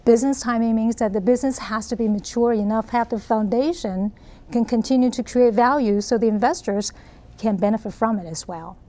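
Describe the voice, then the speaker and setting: A woman's voice: fairly high pitch, a little fast, dark tone. A middle-aged woman from Taiwan with a Taiwanese accent, earnestly explaining her ideas as an interview guest. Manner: earnest, explanatory, frequent laughs. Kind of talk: interview answers.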